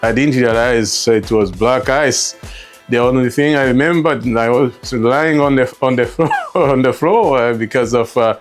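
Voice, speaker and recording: Middle aged rwandan guy telling his story in an interview, really slow and halting, bit of music behind. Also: medium pitch. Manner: halting, repeats words. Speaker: interview guest, store co-founder. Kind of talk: reflective personal storytelling in an interview.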